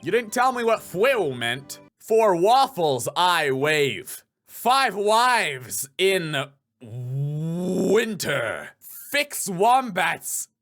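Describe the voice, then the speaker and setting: A man's voice: high-pitched, big pitch swings, drawn-out words, fairly bright tone, soft background music. A man doing playful, theatrical gameplay commentary as he reads out game characters. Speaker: voice actor, gameplay host.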